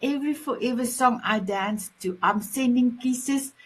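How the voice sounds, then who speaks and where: A woman with a South African Afrikaans accent hosting a talk show in a gushing, affectionate, halting way. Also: on the high side. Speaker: show host.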